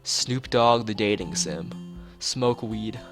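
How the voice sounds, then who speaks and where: A man's voice: fairly low pitch, slow, music in the background. A man streaming a visual novel, reading the narration aloud and chatting casually with joking asides to viewers.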